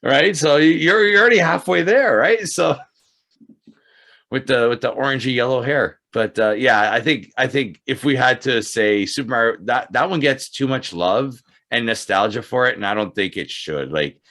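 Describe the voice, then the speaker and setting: A man's voice: mid-range voice, normal speed, pushed and bright. A man hosting a casual film discussion, agreeable and conversational, often echoing what he hears. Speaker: host.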